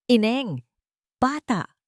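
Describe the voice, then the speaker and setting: A woman voicing a kind, gentle old grandfather in a narrated love story. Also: a little high, big pitch swings, extremely slow, dry recording, studio quality, pitched above the performer's own voice, heavily acted. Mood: calling out.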